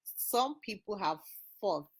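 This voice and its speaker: A woman's voice: medium pitch, animated, takes it slowly, husky. A woman hosting a podcast interview. She talks casually and laughs easily. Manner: casual, laughs easily, short backchannel replies. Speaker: podcast host.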